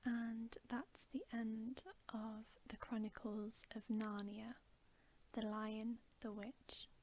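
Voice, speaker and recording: A woman reads a bedtime story in a soft, hushed voice that often slips into whispering. Her delivery is slow and very even, with little rise or fall. It is a soothing ASMR narration. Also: on the high side, a little hiss or hum. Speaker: ASMR storyteller, channel host.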